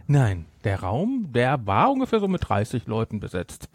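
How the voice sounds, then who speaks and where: A man's voice: mid-range voice, huge pitch swings, moderate pace, breathy edge, no room sound. A man hosting a podcast in a casual, rambling chat, often interrupting himself. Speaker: podcast host.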